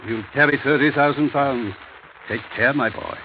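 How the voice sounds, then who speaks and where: An actor playing a brisk, fussy older English family lawyer in old-time radio drama, formal and avuncular with small muttered hesitations. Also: male voice, medium pitch, close to an everyday voice. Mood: avuncular, cautioning. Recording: tinny, noisy vintage radio recording.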